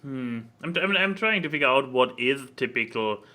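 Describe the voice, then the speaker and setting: Male podcast co-host, mid-pitched with a very bright, forceful edge, casual and a bit stammering. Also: animated, normal speed.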